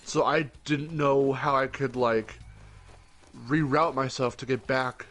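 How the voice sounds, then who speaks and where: A man's voice: medium pitch, fairly even intonation, takes it slowly, fairly bright tone. Groggy young man rambling casually. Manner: rambling, self-correcting, swears casually. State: groggy morning voice. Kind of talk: casual chat.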